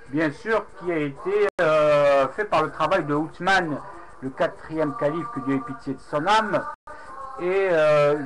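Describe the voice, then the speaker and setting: A man whose first language is Arabic recounts a religious debate into his webcam, pausing often with fillers and restarting his sentences. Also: a little high. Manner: hesitant, many fillers and restarts. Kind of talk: unscripted webcam monologue. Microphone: webcam microphone. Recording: noisy home webcam recording.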